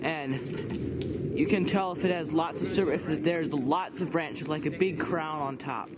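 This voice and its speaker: A man's voice: a little high, unhurried, over a noisy crowd. A teenage boy casually explaining step by step how to tap birch trees, recorded on a camera outdoors in snowy woods and indoors. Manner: plain step-by-step explaining with restarts. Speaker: homestead vlogger. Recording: loud outdoor background noise.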